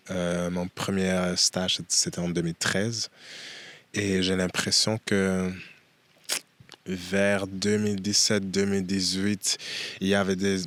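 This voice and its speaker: A young man from Belgium, talking as a guest in an outdoor festival interview. His voice sits very low and stays flat, and he speaks haltingly with frequent pauses and fillers. The sound is dry and close. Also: no echo. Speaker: interview guest, dancer and performance artist. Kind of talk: casual interview.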